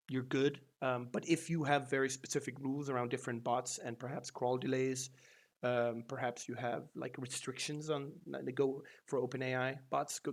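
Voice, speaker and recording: A man hosting a casual news roundup on his channel, talking loosely, with many ums and false starts. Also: medium pitch. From Denmark. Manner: loose and rambling, lots of ums and restarts. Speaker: SEO news channel host.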